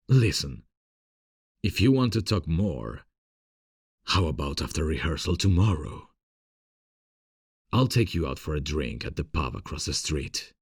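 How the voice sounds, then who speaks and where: A man playing a gentle, earnest theatre director in love, in an intimate ASMR romance roleplay, speaking in a tender, confiding, hesitant way with a husky voice. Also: a bass voice, no room sound, clean studio sound, heavily acted. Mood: happy, courting.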